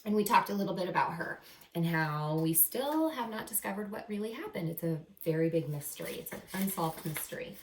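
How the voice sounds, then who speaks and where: A woman's voice: fairly low pitch, fairly quick, a little hiss or hum. Woman reading to children in an echoey room. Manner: expressive, encouraging, explains words simply. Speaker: teacher reading a picture book aloud to children. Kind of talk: animated children's read-aloud with simple asides. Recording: roomy echo, distant microphone.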